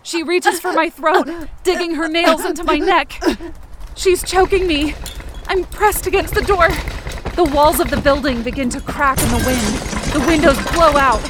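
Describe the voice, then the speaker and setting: A woman's voice: sits high, normal speed, noisy background, lightly acted. A woman playing a frightened but determined young woman, narrating her ordeal in the present tense in a tense, urgent audio drama style. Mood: struggling, desperate.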